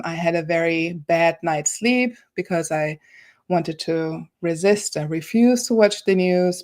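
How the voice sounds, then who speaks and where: A woman with a slightly low voice hosts an online panel from her office on a video call. She talks conversationally and acts out small quoted asides as she tells her stories. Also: darkish tone. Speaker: host and moderator.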